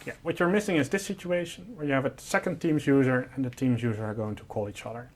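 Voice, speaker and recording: A man's voice: medium pitch, fast, darkish tone. A man presenting a product, explaining a technical solution in an informal, step-by-step and simplified way.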